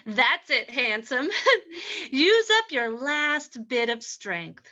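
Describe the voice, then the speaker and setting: A woman's voice: sits high, very animated, slow pace, pushed and bright, muffled recording, exaggerated voice. Woman acting a sultry, scheming radio-play femme fatale. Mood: mocking, gloating. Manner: flirtatious, mocking. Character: sultry, scheming, ruthless female poisoner in a crime radio play. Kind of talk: old-time radio drama acting.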